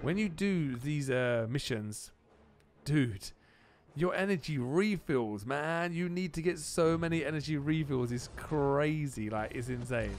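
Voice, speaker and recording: A man giving casual, excitable gameplay commentary as a video host, often repeating phrases for emphasis. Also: on the high side, unhurried, faint music behind.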